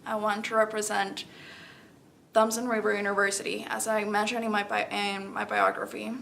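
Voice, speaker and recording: A woman's voice: medium pitch, level tone, moderate pace, vocal fry, fairly bright tone. Young Salvadoran-accented woman, noisy recording. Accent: Salvadoran Spanish. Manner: earnest, non-native phrasing. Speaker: student election candidate. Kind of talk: candidate interview, campaign pitch.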